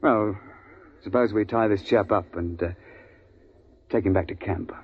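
An actor playing a stern British big-game hunting guide in a 1950s radio drama, speaking in a clipped, understated, old-fashioned way. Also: male voice, fairly low pitch, measured pace. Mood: calm. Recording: muffled, noisy 1950s radio recording.

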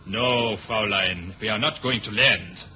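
Sharp-voiced German pilot. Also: male voice, fairly low pitch, unhurried. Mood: grave. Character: grim German pilot of a clandestine flight. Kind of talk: 1970s radio drama acting. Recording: dull, hissy old radio recording.

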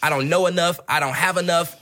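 A man's voice: fairly high pitch, steady tone, quick pace. A man, a guest entrepreneur, giving motivational advice in a preachy style. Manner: preachy, builds to shouting. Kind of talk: motivational talk.

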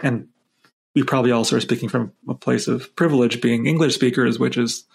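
Husky, gravelly man speaking flatly. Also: mid-range voice, brisk. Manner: reflective, speaking in loose run-on phrases with frequent "like". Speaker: podcast co-host. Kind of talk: casual discussion of the weekly Torah portion.

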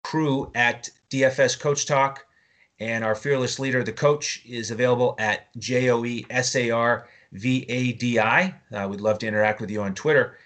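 A man's voice: medium pitch, fairly even intonation, moderate pace, fairly bright tone. A man with an American accent hosting a fantasy sports podcast in a conversational style.

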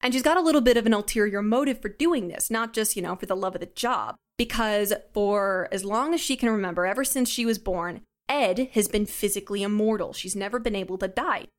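A woman's voice: medium pitch, brisk, hardly any pauses. A young woman with a mid-range voice speaks as a guest creator in an interview. She is articulate and enthusiastic, explaining things in a conversational way. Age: young adult. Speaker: audio drama creator, podcast guest.

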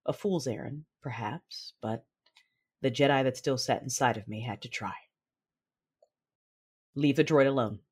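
A woman playing a conflicted, commanding Sith noblewoman in an audio drama, measured and controlled, with dramatic delivery. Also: rumbling low, darkish tone, breathy edge, exaggerated voice. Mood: firm, commanding. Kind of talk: first-person audio drama narration and dialogue. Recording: music behind the voice.

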